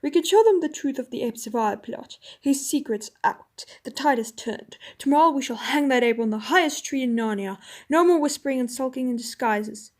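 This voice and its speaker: A woman voicing a stern young king who speaks loudly and with command. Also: high-pitched, moderate pace, close to an everyday voice. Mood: rousing. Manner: loud, commanding.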